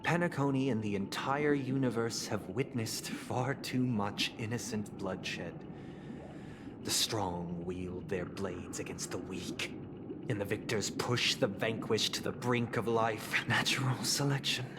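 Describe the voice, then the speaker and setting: A man playing a composed, solemn idealist leader in a video game, speaking in a measured, formal, oratorical way. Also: lowish voice, noisy background, natural voice. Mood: persuasive, grave. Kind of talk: dramatic video game voice acting. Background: background music.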